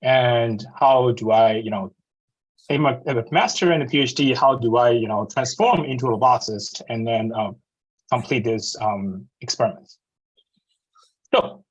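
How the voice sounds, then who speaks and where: A man in his thirties from Taiwan with a Taiwanese accent, giving a slide talk to students over a video call, halting with many fillers and restarts. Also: mid-range voice, muffled recording. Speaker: guest speaker.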